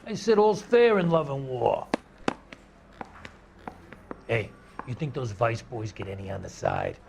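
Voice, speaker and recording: An actor playing a chatty, ambitious young patrolman with a gravelly voice. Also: mid-range voice, very animated, a little slow, background noise. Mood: boastful.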